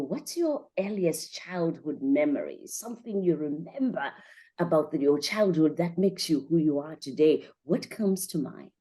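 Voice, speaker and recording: A woman's voice: sits low, a little mellow. A woman hosting a podcast, interviewing in a conversational, informal way and addressing her audience directly. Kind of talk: conversational interviewing and event promotion.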